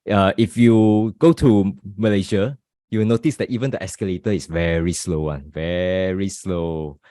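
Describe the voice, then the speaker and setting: A man's voice: sits low, steady tone, normal speed, warm tone, dry recording, studio quality. A man with a Singaporean English accent and Mandarin as his first language, chatting casually as a podcast co-host. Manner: chatty, compares places from experience. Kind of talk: casual chat.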